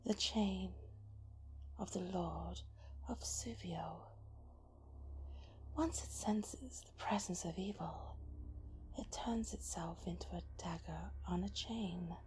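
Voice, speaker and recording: A woman playing a cunning, vengeful female spirit of darkness in an ASMR roleplay, speaking in a breathy, taunting, deliberate and persuasive way. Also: mid-range voice, darkish tone, a little hiss or hum, close to an everyday voice. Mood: mocking, menacing. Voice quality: breathy whisper. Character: cunning vengeful female dark spirit, seductive punisher of the guilty.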